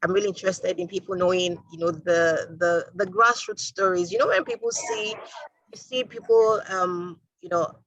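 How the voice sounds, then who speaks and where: A woman hosting a live online interview, upbeat and lively, with fillers. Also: lowish voice, moderate pace, dry recording, lo-fi sound. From Nigeria. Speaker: host.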